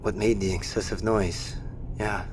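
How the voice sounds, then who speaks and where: A man's voice: fairly even intonation, unhurried, a little mellow, close to an everyday voice. An actor playing a wary, commanding but courteous male survivor in a naturalistic audio drama, giving insistent orders. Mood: stunned, sober. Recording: faint low rumbling background noise.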